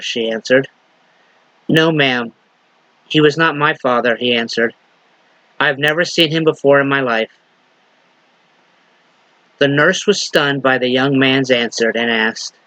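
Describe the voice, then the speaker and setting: Minister with a lingering slight cough. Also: male voice, medium pitch, bright, forceful tone, no room sound, rough recording. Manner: unhurried, halting with fillers and restarts. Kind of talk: sermon with story reading.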